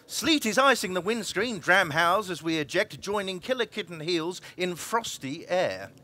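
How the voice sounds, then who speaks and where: A man's voice: high voice, animated, moderate pace, sharp tone. A man performing an emphatic, dramatic reading of a comic story as an actor. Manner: theatrical, emphatic delivery.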